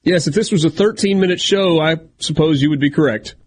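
A man's voice: fairly high pitch, fairly quick, husky. A man hosting a sports radio show, chatty and self-deprecating, with a big voice. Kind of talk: casual sports radio talk.

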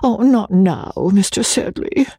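Coy young woman, played by a male reader, raised pitch, gushing, sighing, affectedly sweet, flattering, storybook character. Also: slow, gravelly, breathy edge, no room sound, clean studio sound, exaggerated voice. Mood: sweetly plaintive.

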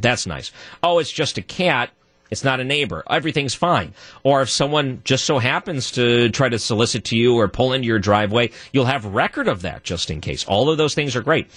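A man with a deep voice, guest hosting a talk radio show and arguing his point alone, his voice jumping higher when he gets worked up. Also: no room sound. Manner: animated, voice jumps high when worked up. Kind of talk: solo talk radio monologue.

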